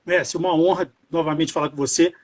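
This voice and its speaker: A man's voice: on the high side, fairly even intonation, quick pace. A man from Brazil hosting a live interview, asking polite, deferential questions. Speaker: host.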